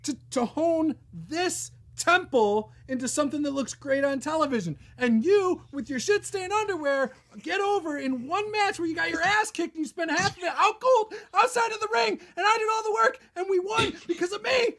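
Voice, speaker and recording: A tabletop role-playing gamer bantering in character in an animated, indignant way. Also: very high voice, normal speed, hardly any pauses. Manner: indignant, exclamatory. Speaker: tabletop game player.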